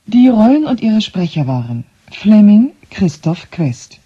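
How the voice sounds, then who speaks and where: A radio announcer reading scripted credits in a formal, deliberate manner. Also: mid-range voice, big pitch swings, mellow, slightly rough. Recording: dull, band-limited old radio recording.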